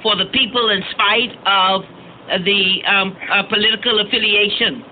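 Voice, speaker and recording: High-pitched man, radio interviewer. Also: fairly even intonation, moderate pace. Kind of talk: political interview questioning. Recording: noisy, narrow phone-like radio audio.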